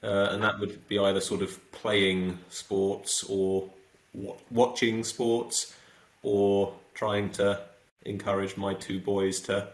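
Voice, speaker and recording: A man's voice: on the low side, level tone, takes it slowly, echoey room, rough recording. A middle-aged man from the south coast, a podcast guest talking casually with many fillers and restarts. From south coast of England.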